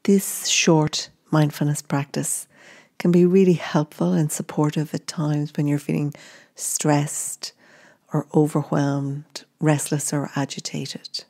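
Female meditation guide. Deep, soft-toned delivery, very measured, with gentle phrase-by-phrase instruction. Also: flat delivery.

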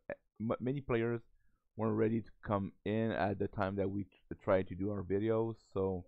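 A low, gravelly man who is hoarse from a cold, talking slowly and haltingly with lots of fillers and restarts. His voice comes through a tinny, phone-like mic in a dry, close space. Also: no echo. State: losing his voice, has a cold. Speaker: Minecraft server host. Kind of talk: casual gameplay voice-over.

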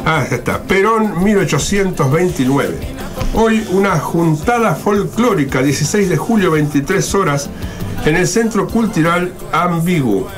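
A man's voice: sits high, expressive, unhurried, music in the background. A man with a Buenos Aires Argentine accent hosting a radio show, reading out event notices in an informal, ad-libbed, conversational way.

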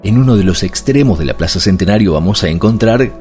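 A middle-aged man walks through a region's history and sights in an explanatory travel narration. His voice sits very low, and faint music plays underneath. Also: expressive, fast talker, a little mellow. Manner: explanatory, listing places one after another. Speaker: travel vlogger.

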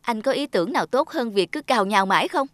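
A woman's voice: fairly high pitch, animated, fast, soft background music, natural voice. A woman playing an aggrieved, emotional wife and mother in a cartoon drama. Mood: bitter, arguing.

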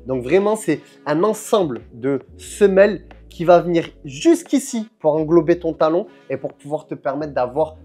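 Young male boot reviewer, mellow tone, upbeat and pally, soft music underneath. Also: on the high side, moderate pace, few pauses. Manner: energetic, chummy, addresses viewer as "mon soldat". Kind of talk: casual product review to camera. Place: indoor room with shelves of football boots.

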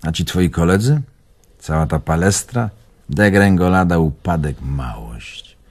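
Radio drama: wounded, evasive old man-about-town, musing and theatrical, husky, very expressive intonation. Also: low voice, unhurried, heavily acted. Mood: admiring, scornful. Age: older. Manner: theatrical, musing, swinging between murmur and outburst. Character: bitter old bon vivant, wounded, evasive former impresario.

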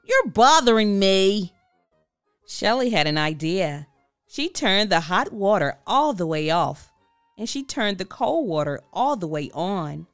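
A woman reading a children's book aloud with expressive, deliberate storytelling. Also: on the low side, no echo, faint music behind. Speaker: storybook reader. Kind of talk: children's read-aloud narration.

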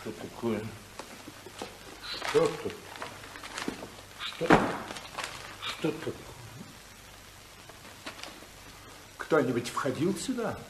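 An actor playing an imperious, stern older tycoon father in a 1950s radio play, commanding and theatrical, with deliberate pauses. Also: mid-range voice, big pitch swings, background noise. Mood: suspicious. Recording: 1950s radio drama recording.

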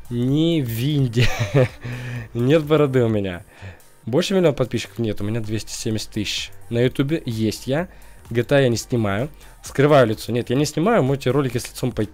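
A man's voice: medium pitch, a little mellow. A young man, a gaming YouTuber, chatting casually over a screen recording, joking and laughing often. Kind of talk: casual let's-play commentary.

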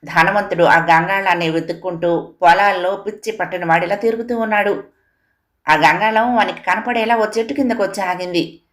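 Medium-pitched woman storyteller. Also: steady tone, a little fast, a bit bright. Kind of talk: scripted children's moral story narration.

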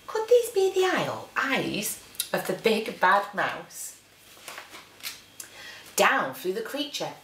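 A woman reads a picture book to children like a teacher at story time. Her pitch moves up and down in a lively way, her phrasing is slow and dramatic, and the room adds some echo. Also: distant mic, a little hiss or hum. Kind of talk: expressive storybook reading. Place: living room, indoors. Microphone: camera a short distance away. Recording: roomy living-room echo.

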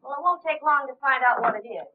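High-voiced secretary, tinny 1930s film. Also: female voice, expressive, fast, noisy background. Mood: businesslike. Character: brisk, inquisitive young secretary.

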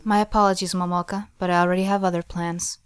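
A woman's voice: lowish voice, fast talker, music in the background, lightly acted. A woman voicing an aloof, weary teenage girl who speaks politely and curtly in an anime parody dub. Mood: cool, polite. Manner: flat, polite, curt.

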